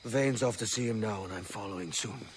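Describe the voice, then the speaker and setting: An actor playing a bold, roguish young pirate captain in a video game, plain-spoken, cocky and defiant. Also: male voice, lowish voice, a little fast, a little hiss or hum, close to an everyday voice. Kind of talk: dramatic video game acting.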